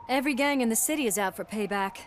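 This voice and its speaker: An actress playing a tough, loyal police officer in a video game, brisk and no-nonsense. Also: female voice, on the high side, slight background noise, close to an everyday voice. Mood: determined. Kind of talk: video game cutscene acting.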